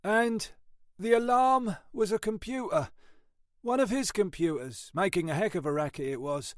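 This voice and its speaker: A man's voice: sits high, normal speed, no room sound, clean studio sound, voice pushed up, natural voice. A man voicing an overworked police detective in an audiobook, mournful and chatty, grumbling in colloquial speech. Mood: grim.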